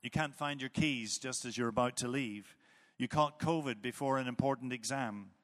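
Northern Irish preacher in a reverberant hall. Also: male voice, medium pitch, fairly bright tone. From Northern Ireland. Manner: measured, explanatory, with occasional fillers and restarts. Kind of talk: sermon.

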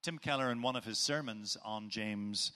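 A middle-aged man from Northern Ireland with a Northern Irish accent, preaching a sermon in a measured, explanatory way. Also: lowish voice, sharp tone. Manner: measured, explanatory, with occasional fillers and restarts. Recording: long hall reverb.

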